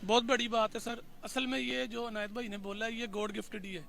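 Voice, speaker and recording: A man's voice: very high-pitched, little pitch movement, brisk, vocal fry, bright, forceful tone, a little hiss or hum. A man agreeing in an interview, ticking off points and adding "okay".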